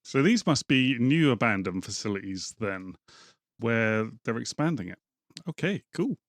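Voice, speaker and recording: Thirties guy reacting to a game vid on his headset, chill, thinking out loud, dry room. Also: medium pitch, a little slow, no room sound. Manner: relaxed, thinking aloud while watching. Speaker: gaming YouTuber reacting to a video. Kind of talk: casual reaction commentary. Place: small home room with green lighting.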